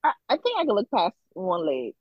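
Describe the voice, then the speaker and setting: Woman co-host, husky and animated, rambling and giggly, dull muffled line. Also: medium pitch, fairly quick, no echo. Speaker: podcast co-host. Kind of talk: casual group chat.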